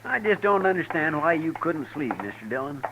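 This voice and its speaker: An actor playing a young loyal frontier deputy with a folksy, plaintive drawl, in a 1950s radio drama. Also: male voice, fairly high pitch, very animated, a little fast, lo-fi sound. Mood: puzzled, folksy. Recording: vintage radio recording with hiss.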